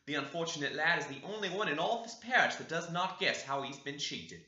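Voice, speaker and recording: A homely, talkative housekeeper as a male reader plays her in a classic storybook. The voice is very bright and swings in pitch, with chatty emphasis, in a roomy echo. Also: expressive, fairly quick. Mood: frank, gossipy. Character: talkative, homely woman housekeeper telling family history. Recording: roomy, echoey, slightly dull sound.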